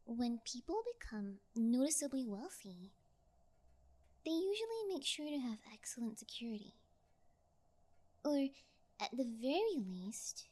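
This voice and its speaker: A woman playing a cocky, callous kidnapper in an audio roleplay, with a flippant, teasing, drawn-out delivery. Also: high voice, fairly bright tone, full character voice. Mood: gloating. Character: cocky, callous sociopathic female yangire kidnapper, flippant and taunting. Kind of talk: ASMR audio roleplay acting.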